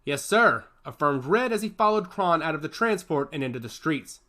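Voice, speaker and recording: A man narrating a story with expressive, scripted delivery. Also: a little high, brisk.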